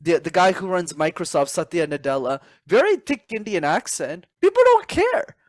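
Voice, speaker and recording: Lively motivational man, dry sound. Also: high-pitched, moderate pace, no room sound. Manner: animated, motivational, addresses host by name. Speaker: podcast guest, public speaking coach. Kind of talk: casual interview chat.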